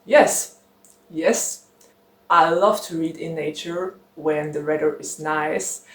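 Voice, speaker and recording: Young woman chatting slow and giggly, lots of ums, roomy echo. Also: low voice, a bit bright. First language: German. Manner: chatty, giggly, rambling with many fillers. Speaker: book channel host. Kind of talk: casual chat to camera.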